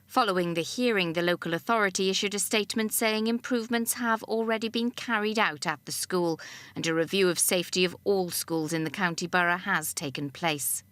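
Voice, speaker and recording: A woman's voice: lowish voice, fairly quick, fairly bright tone. A woman news reporter narrating a scripted report in a serious broadcast style.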